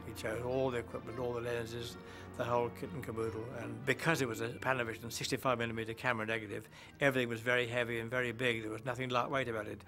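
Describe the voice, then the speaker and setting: A man recounting technical details of film equipment in a brisk, matter-of-fact way. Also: mid-range voice, level tone, over music.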